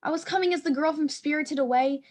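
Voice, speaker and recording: A woman plays an anxious schoolgirl in a stage play reading. The voice sits very high. The delivery is fretful and theatrical, treating trouble as a disaster. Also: fast, darkish tone, close to an everyday voice. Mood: dramatic, restless. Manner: fretful, dramatic. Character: anxious, dramatic schoolgirl dreading punishment.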